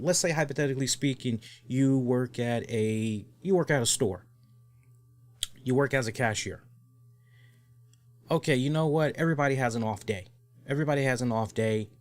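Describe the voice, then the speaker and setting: Rambling man hosting a wrestling show. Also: mid-range voice. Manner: conversational, rambling, with everyday hypotheticals. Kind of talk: live-streamed casual commentary.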